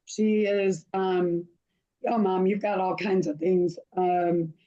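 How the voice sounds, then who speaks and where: A woman with a hoarse voice hosting an online show, chatty and casual, often losing her place and starting over. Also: lowish voice, level tone, slow pace, mellow, dull audio. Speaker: host.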